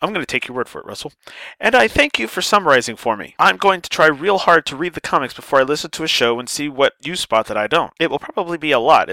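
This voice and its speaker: A man's voice: mid-range voice, creaky, no echo, poor audio. A man hosting a podcast, talking casually with a stuffy voice after a sinus infection, joking and poking fun at himself. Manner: lively, joking and self-mocking. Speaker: podcast host.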